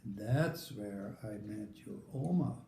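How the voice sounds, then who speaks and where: Gravelly older Dutch-accented man. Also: fairly low pitch, expressive, warm tone, reverberant, a little hiss or hum. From Netherlands. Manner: unhurried, halting with many uh fillers. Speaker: grandfather being interviewed. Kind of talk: casual family interview.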